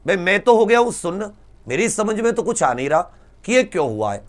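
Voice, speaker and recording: A man's voice: sits high, very animated, brisk. A man explaining exam results to students as a teacher, informally and emphatically, repeating points and asking rhetorical questions. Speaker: exam-prep teacher and presenter.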